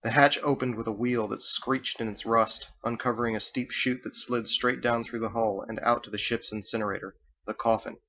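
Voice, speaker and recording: A man's voice: medium pitch, fairly even intonation, moderate pace, sharp tone, highs cut off. A man reading his story aloud as dramatic scripted audiobook narration. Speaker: author reading own book.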